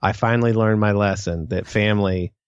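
A man's voice: fairly low pitch, steady tone, brisk, smooth, no room sound, lo-fi sound. A man co-hosting a podcast in casual fan discussion, thinking aloud and hedging as he goes. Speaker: podcast co-host.

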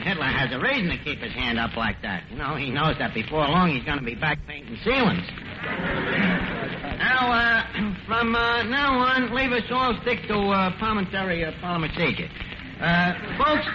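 An actor playing a pompous, slangy tavern manager who mangles big words in mock-formal speeches in a 1940s radio comedy. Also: male voice, high voice, very animated, moderate pace. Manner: mock-formal, malapropisms, hesitant. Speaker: comic master of ceremonies. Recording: noisy, dull 1940s radio recording.

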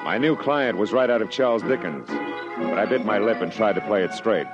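Man acting a hard-boiled detective, dulled vintage radio sound, deepish voice, clipped, wry, wisecracking, 1940s drama. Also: expressive, normal speed, lightly acted. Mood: wry narration. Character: tough, wry, streetwise male private detective. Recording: muffled old radio recording with music.

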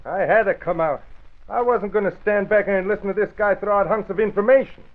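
An actor playing a tough, menacing, mocking gangster boss in an old radio drama. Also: male voice, sits high, steady tone, brisk. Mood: mocking, defiant. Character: menacing, brash male gang boss. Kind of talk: 1940s radio drama acting. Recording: tinny, very noisy old radio recording.

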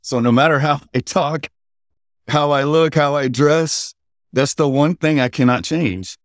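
A middle-aged man, a podcast guest, telling family stories in a halting, conversational way without a regional accent. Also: on the high side, dry recording, studio quality. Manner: halting, with many fillers and restarts. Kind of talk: casual interview storytelling.

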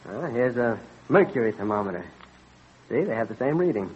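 A man playing an earnest, excitable colonial American inventor in an old-time radio drama, explaining things eagerly and modestly. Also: medium pitch, a little fast, a little hiss or hum, lightly acted. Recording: husky-sounding dull vintage radio recording.

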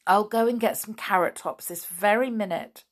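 A woman voicing a kind, gentle young male rabbit who talks softly and earnestly. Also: fairly high pitch, lively intonation, takes it slowly, full character voice. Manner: giggly, soft and earnest.

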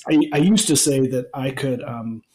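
A man in his forties from Alabama, the usual host, answering interview questions in a relaxed, rambling way with many restarts. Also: mid-range voice, moderate pace, crowd noise. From Alabama, United States. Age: 40s. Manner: rambling, many ums and restarts, laughs easily. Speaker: podcast host being interviewed. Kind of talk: casual interview chat.

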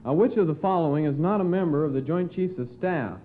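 A man's voice: fairly high pitch, fast, slight background noise. A sixteen-year-old young man formally reading out quiz questions as a guest challenger on radio. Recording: tinny, band-limited 1950s radio recording.

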